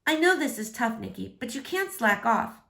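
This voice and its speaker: A woman's voice: a little high, very animated, moderate pace, vocal fry, pushed and bright, full character voice. A woman reading the part of a caring, playful but firm mother who chides and teases. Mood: concerned. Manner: chiding, teasing.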